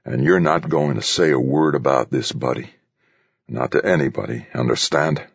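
A man's voice: sits low, very animated, moderate pace, vocal fry, fairly bright tone, slightly rough, voice pushed up, full character voice. A man voicing a big, hot-tempered, foul-mouthed bully of an engineer in an audiobook, belligerent and roaring. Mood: menacing. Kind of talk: audiobook character voice. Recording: slightly dull, roomy recording.

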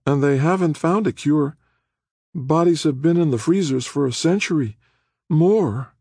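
A sullen, disgusted woman played by a male narrator in an audiobook. The voice sits far higher than his own, bitter and resigned, in a dry recording. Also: moderate pace, mellow, husky, dry recording.

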